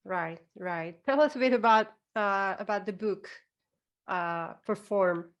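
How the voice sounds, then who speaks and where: A woman's voice: medium pitch, a little slow, muffled recording. A woman hosting a casual podcast interview in an encouraging, effusive way.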